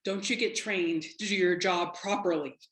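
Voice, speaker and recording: Woman acting, stern female agent, sharp-tongued, slightly low pitch, reverberant room, screenplay drama. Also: moderate pace, natural voice. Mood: scolding. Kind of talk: dramatic screenplay table read. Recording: roomy, echoing room sound.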